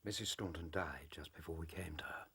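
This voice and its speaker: An actor playing a kindly, sorrowful older English gentleman companion in a radio drama, gentle and hushed, with an RP accent. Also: rumbling low, fairly quick, creaky, husky, exaggerated voice. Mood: hushed sympathy. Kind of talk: British radio drama acting.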